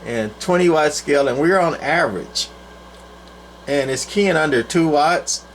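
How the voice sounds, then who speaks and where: An older man giving a casual, unscripted equipment demo in a rambling, folksy, halting way with long pauses. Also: on the high side, animated, slight background noise. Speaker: presenter, CB radio collector.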